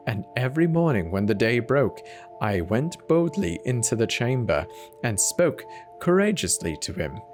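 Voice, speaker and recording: Man reading horror slowly, wild pitch swings. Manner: theatrical, whispery swings from hushed to manic. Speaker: narrator. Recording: faint music behind the voice. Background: faint instrumental music.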